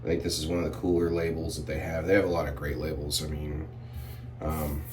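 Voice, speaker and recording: A man reviewing shave soap in a relaxed, rambling way, a bit sniffly and clearing his throat often. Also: rumbling low, fairly quick, recorded from a distance, noisy background. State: sniffly from irritated sinuses. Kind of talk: casual product review vlog.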